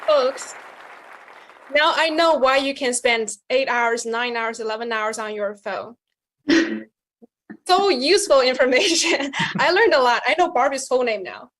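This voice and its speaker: A woman hosting a debate show, talking in an animated way with chuckles. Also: high-pitched, a little slow, a faint crowd. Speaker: host.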